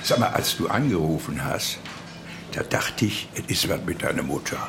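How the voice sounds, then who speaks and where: An actor playing a jovial, wily older uncle with connections, talking in a folksy, colloquial way in a radio drama. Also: male voice, a bass voice, lively intonation, moderate pace, creaky, very noisy, exaggerated voice. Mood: chummy, evasive.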